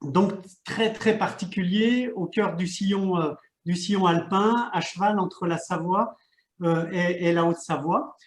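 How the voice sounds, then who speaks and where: A man, a local mayor, giving a halting panel presentation over a video call, often repeating words as he searches for them. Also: sits high. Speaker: mayor and regional park president. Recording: echoey room.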